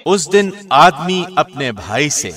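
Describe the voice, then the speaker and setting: A man reading a scripture translation as a scripted narration, in a measured, formal way. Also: on the high side, very animated, fairly bright tone. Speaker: translation narrator, radio host.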